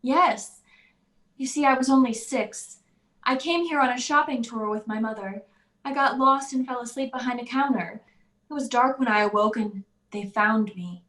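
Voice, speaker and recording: A woman's voice: a little high, moderate pace, fairly bright tone, natural voice. An actress playing a timid, naive young servant woman in a radio drama, speaking with fearful, innocent earnestness. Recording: roomy, echoing voice track.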